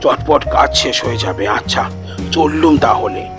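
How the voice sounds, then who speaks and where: A man playing a stubborn dying man in an audio story, speaking bluntly in a low, breathy, weakened voice. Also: measured pace, over music, natural voice. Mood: weak, stubborn. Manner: blunt, curt last instructions. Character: stubborn dying man, grimly matter-of-fact. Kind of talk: audio story character acting.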